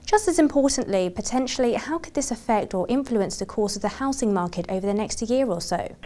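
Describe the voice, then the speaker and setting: A woman's voice: fairly high pitch, lively intonation, brisk, dark tone. A woman working as a broadcast journalist, putting scripted interview questions in a formal, polished presenter's delivery.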